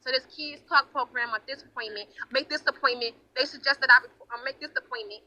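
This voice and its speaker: A woman's voice: sits high, fast, sharp tone, muffled recording. A woman in her late twenties talking heatedly and casually on a livestream, emphatic, defensive and swearing freely. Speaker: livestream host.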